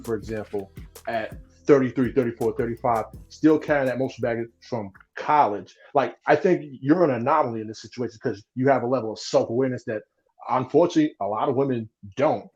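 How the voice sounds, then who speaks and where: A man at a middle pitch talks fast in casual podcast chat. He is agreeable and quick to back up the others, with faint music in the background. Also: a little mellow.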